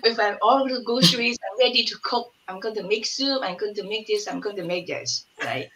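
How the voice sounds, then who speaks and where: A woman's voice: mid-range voice, fairly quick, a little hiss or hum, low-quality recording. A woman in her sixties chatting on a video call from a home room, searching for words as she tells stories. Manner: warm storytelling, searching for words. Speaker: community elder, collective member. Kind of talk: casual panel chat on video call. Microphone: laptop mic on video call.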